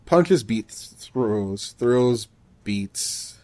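A man co-hosting a podcast, chatting casually about gaming in a halting way, often rephrasing himself mid-thought. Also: medium pitch, animated, darkish tone, no echo. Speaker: podcast co-host.

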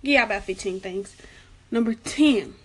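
A young woman gives casual advice in a chatty vlog. She is soft-spoken, has a light, girlish voice and keeps repeating her warnings. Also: medium pitch, measured pace, rough recording. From Arkansas, United States. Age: late teens. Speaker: vlogger giving school advice.

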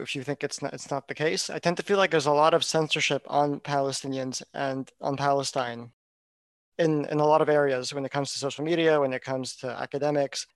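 A man's voice: on the high side, no echo. A young man hosting a podcast, asking interview questions hesitantly and repeating words. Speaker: podcast host.

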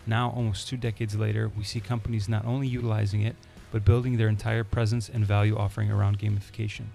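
A man hosting an interview podcast, introducing a guest and asking relaxed, conversational questions. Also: lowish voice, fast, vocal fry, faint music behind. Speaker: podcast host.

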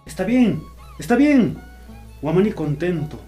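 A man acting a mellow, solemn village violinist. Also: high voice, very animated, measured pace, faint music behind. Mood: solemn, assured. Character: male village violinist, devoted, solemn seer. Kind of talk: radio drama acting.

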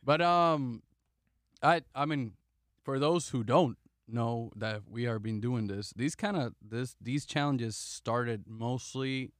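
A man's voice: mid-range voice, slow, no echo, studio quality. A man chatting casually in a group, rambling with lots of fillers as he explains. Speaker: video creator.

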